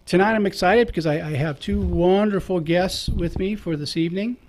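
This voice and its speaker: A man's voice: high-pitched, fairly quick, a little mellow. A man, a priest, hosting a radio talk show in a casual, jokey way, chuckling between remarks.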